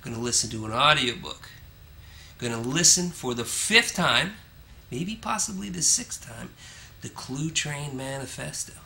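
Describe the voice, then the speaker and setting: A man's voice: medium pitch, expressive, measured pace, vocal fry, bright, forceful tone, a little hiss or hum. A man filming his own road trip, chatting casually to the camera with joking asides. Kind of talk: casual self-narration to camera. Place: on the road and in a parking lot.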